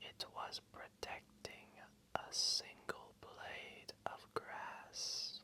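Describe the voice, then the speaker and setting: A man voicing an ancient, wise and gentle old dragon in a gravelly, breathy voice, telling a bedtime story slowly and soothingly. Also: full character voice. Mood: soothing, hushed. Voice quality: gravelly, breathy, often whispered. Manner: slow, solemn, soothing, deliberate. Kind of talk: slow bedtime storytelling, ASMR.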